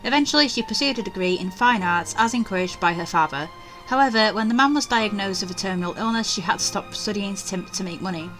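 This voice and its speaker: A woman's voice: mid-range voice, fast, a bit bright, soft background music, rough recording. A young adult woman playing a game, commenting casually and reading its text aloud. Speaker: let's play host.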